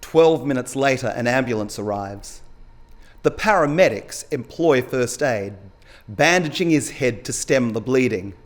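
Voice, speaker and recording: A middle-aged man reading short fiction aloud on stage, expressive and dramatic in his storytelling. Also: mid-range voice, unhurried. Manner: dramatic, acts out dialogue. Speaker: story reader at a live literary event. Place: stage at a small indoor event venue. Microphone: lectern gooseneck microphone.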